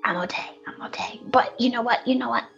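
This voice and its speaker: A woman's voice: on the high side, monotone, fast talker, creaky, a bit bright, in a whisper. A woman playing a sickly, poor young boy who stammers and rambles naively in a comedic audio play. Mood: suffering, brave. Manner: stammering, repeats words, rambling. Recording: dull lo-fi audio with music.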